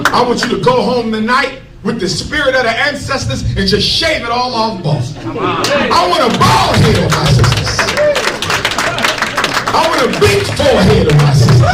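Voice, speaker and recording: Fiery preaching man in an echoey hall. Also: high voice. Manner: preaching, emphatic, jokes with the crowd. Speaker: speaker addressing an audience. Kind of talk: fiery public lecture. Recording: echoey hall recorded from a distance.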